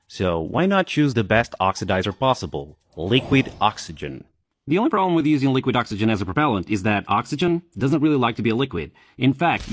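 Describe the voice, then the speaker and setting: A man with a fairly low voice and very animated intonation narrates an explainer. He talks conversationally, tossing in playful asides and posing questions to the listener as he goes. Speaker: presenter of an engineering explainer video. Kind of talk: enthusiastic scripted explainer narration.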